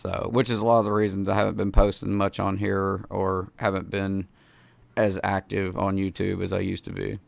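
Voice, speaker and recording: A man in his forties hosting an interview over a video call from a home studio, hoarse from a cold and talking in a laid-back, slangy, earnest way. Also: deep voice, completely flat delivery, moderate pace, no room sound. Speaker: host. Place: home studio with foam panels. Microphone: studio mic in frame.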